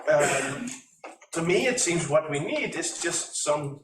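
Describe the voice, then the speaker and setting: A man from industry speaking up from the audience in a conference discussion, forthright and practical, with a loud voice. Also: fairly high pitch, expressive, takes it slowly, noisy background. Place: conference meeting room. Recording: echoey room, distant ceiling mics.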